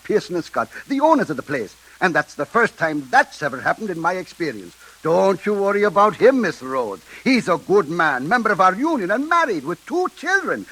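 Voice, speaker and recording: An actor playing a garrulous, cheerful Irish building employee in an old radio drama, repeating himself eagerly. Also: male voice, high voice, big pitch swings, a little fast, hardly any pauses, fairly bright tone, heavily acted, rough recording. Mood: reassuring. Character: chatty, genial Irish doorman, eager to please. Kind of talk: old-time radio acting. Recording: hissy old radio recording.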